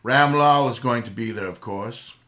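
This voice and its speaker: In an old-time radio play, a man plays a suave, unflappable playboy sleuth who gives orders coolly and reassures his frightened companion. His voice sits at a middle pitch. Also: moderate pace, sharp tone, close to an everyday voice. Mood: calm. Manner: cool, commanding, reassuring. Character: suave, calm playboy crime-fighter, adult man. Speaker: radio drama actor. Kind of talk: 1930s radio drama reenactment.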